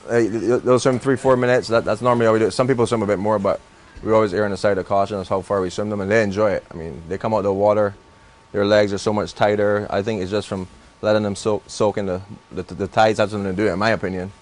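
A man's voice: on the low side, steady tone, fast. A young Barbadian man, a racehorse trainer, chatting loosely in an interview with many restarts and fillers. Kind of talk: casual interview.

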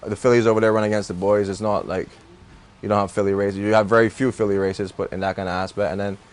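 A man's voice: on the low side, little pitch movement, quick pace, clean tone. A young Barbadian man, a racehorse trainer, chatting loosely in an interview with many restarts and fillers. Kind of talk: casual interview.

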